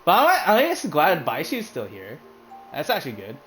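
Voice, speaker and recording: A man's voice: on the high side, wildly swooping pitch, moderate pace, a bit bright, faint music behind. A man streaming a game and chatting casually, rambling with lots of fillers and the odd curse word, in a hot room with a fan. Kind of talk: casual commentary while playing a game.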